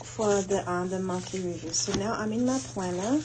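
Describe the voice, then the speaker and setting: A woman talking casually and haltingly as she thinks aloud through her planning in an audio log. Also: mid-range voice. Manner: unhurried, halting, thinking aloud while doing tasks. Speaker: host of a planning audio log for a women's group. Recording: noticeable background noise.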